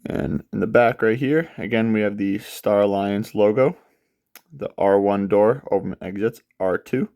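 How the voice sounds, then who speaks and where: A young man walks through a model unboxing in an easygoing, unscripted way, pausing often on fillers. His voice sits very low with a rough, gravelly edge. A cold leaves him slightly stuffy and nasal. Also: muffled recording. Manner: relaxed, many filler pauses. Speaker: aviation model channel host. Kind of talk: casual product unboxing walkthrough.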